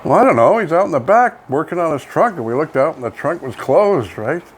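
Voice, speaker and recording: A man's voice: on the high side, very animated, a little fast, slightly rough. A middle-aged man with a Canadian accent, chatting casually in a folksy, talkative way as a local radio co-host. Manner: folksy, chatty, uses "eh" and "you know". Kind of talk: casual co-hosted chat. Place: home studio.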